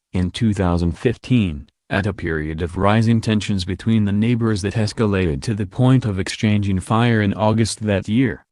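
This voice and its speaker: A man delivers a scripted news narration in a fairly low voice. His reading is formal and sticks closely to the written copy. The sound is dry, with no room reflections. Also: darkish tone, dry recording.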